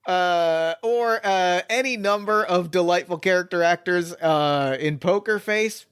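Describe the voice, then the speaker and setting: A man's voice: high-pitched, a little slow, fairly bright tone, clear, resonant tone, dry recording, clean studio sound. A man running a tabletop murder mystery game as game master, talking casually and rambling with many fillers and restarts.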